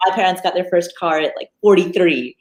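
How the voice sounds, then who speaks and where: A woman's voice: lowish voice, a little fast. A woman in her thirties, a finance podcaster from Nepal raised in the United States, talking animatedly as an interview guest with many restarts. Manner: animated, frequent restarts and fillers. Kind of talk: conversational interview. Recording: echoey room.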